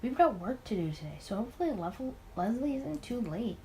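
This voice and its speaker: A woman's voice: on the high side, big pitch swings, brisk, darkish tone, slight background noise. A woman voicing a tired, awkward male detective who narrates a visual novel in the first person. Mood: weary, awkward.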